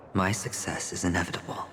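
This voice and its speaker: An actor playing a self-assured young male gambler in a game cutscene, speaking in a wry, measured way. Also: low voice, big-room sound, background noise.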